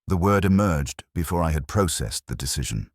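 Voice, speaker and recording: A very deep-voiced man reads a scripted dramatic story. His delivery is solemn, measured and clipped, yet his pitch moves in a lively way. The sound is dry and close. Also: dry recording. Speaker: narrator. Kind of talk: scripted first-person dramatic story narration.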